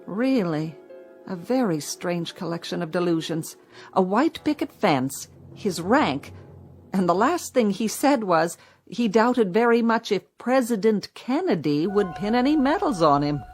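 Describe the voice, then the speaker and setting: Cool-headed female clinician, middle pitch, questioning manner, radio drama with light music. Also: expressive, unhurried, darkish tone. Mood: clinical, puzzled. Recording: music bed underneath.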